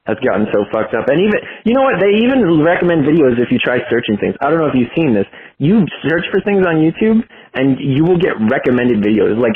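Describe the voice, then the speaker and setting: A man's voice: mid-range voice, husky. A young man leaving a rambling, casual voicemail, hesitant and informal as he thinks aloud. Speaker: voicemail caller. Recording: muffled tinny phone voicemail line.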